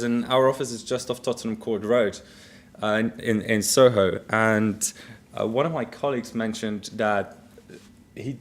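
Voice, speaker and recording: A man with a Dutch accent giving a conference keynote in a relaxed, conversational way while walking through a live demo. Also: mid-range voice. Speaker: keynote speaker. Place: conference stage.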